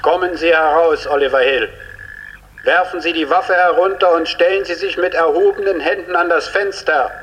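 An actor playing a stern police officer calling out formal, commanding surrender orders in a radio crime drama. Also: male voice, high-pitched, a bit bright. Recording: long echo, outdoor hail, hissy.

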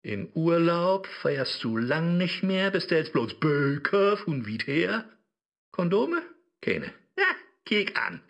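An actor playing a sly, mocking digital Mephisto in a rhyming radio play, theatrical and taunting, with a Low German accent. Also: male voice, sing-song, slow pace, creaky, fairly bright tone, exaggerated voice. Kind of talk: satirical radio play in verse.

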